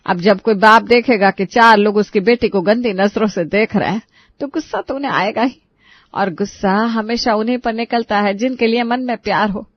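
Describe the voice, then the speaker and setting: A middle-aged mother acted by a woman storyteller gently admonishes in a cautious, traditional way. Her pitch is moderate, and the sound is dry and a bit muffled. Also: moderate pace, dry recording, natural voice. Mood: soothing.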